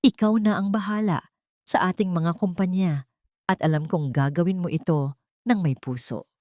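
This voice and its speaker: A woman's voice: on the low side, normal speed, darkish tone, no echo, clean studio sound, natural voice. A woman voicing a humbled, remorseful old grandfather with a trembling delivery in an audio drama. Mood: remorseful, earnest.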